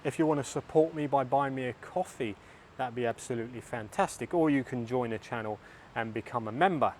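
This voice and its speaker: A man's voice: mid-range voice, fairly quick. A middle-aged man, giving plainspoken, opinionated vlog talk to camera. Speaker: vlogger and tour guide. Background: faint traffic and street noise.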